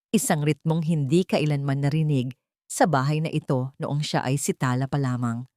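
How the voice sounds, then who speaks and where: A Filipino woman narrates a dramatic story in a fairly low voice. The recording is dry and close, with very little room around it. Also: a little mellow, no room sound.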